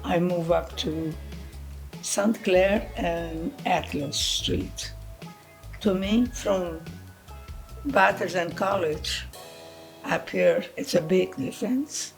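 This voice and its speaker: A woman in her seventies from the Azores speaks slowly in a gravelly voice, halting between phrases. Faint music plays behind her. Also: fairly low pitch. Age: 70s. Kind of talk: interview recollection.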